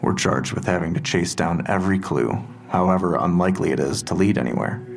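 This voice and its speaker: An actor playing a methodical police detective in an audio drama, questioning in a deliberate, matter-of-fact way. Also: male voice, sits low, gravelly, soft background music. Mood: grave, pressing. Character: methodical, probing male police detective, quietly pressing.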